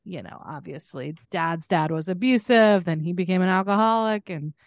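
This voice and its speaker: A woman co-hosts a casual pop-culture podcast in a slightly low voice. She talks in a loose, giggly, conversational way. The sound is dry, with no room echo. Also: animated, normal speed. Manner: giggly, laughs often while talking; conversational, frequent "like" and "you know". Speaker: podcast co-host.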